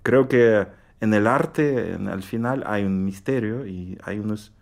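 A man with a Russian accent speaking Spanish as a guest in a radio interview, halting and searching for words with many fillers. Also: on the low side, vocal fry. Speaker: guest pianist. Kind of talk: interview conversation.

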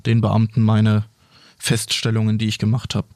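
A man's voice: on the low side, completely flat delivery, normal speed, darkish tone, dry recording. A man whose first language is French, a police officer, recounting his work plainly and matter-of-factly in a studio interview.

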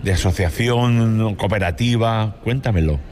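A man's voice: on the low side, a little slow, darkish tone. A man conducting a radio interview, prompting his guest with friendly questions.

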